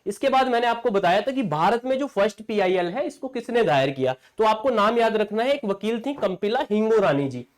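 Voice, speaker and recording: A man's voice: sits high, animated, a little fast, few pauses. Male coaching teacher for exam lectures; explanatory, repetitive delivery built on self-answered questions. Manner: asks rhetorical questions and answers them himself.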